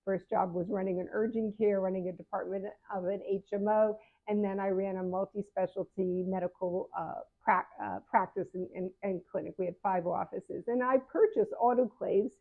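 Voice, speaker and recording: A woman's voice: mid-range voice, fairly even intonation, moderate pace, vocal fry, few pauses. A seventy-year-old woman giving frank, conversational advice to her viewers as a patient advocate, often correcting herself mid-sentence. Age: 70s. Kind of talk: casual advice talk to camera. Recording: phone-like narrow sound.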